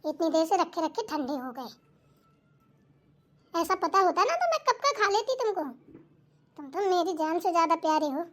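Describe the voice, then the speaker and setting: A woman's voice: very high voice, sing-song, normal speed, long pauses. A woman playing a stubborn, put-upon young daughter-in-law in a family comedy skit, grumbling and arguing with sarcasm. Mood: sulky grumbling.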